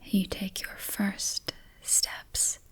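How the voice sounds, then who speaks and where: A woman guiding an ASMR meditation in a soothing, hushed, whispery soft voice, leaving long pauses between short phrases. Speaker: ASMR host, meditation guide.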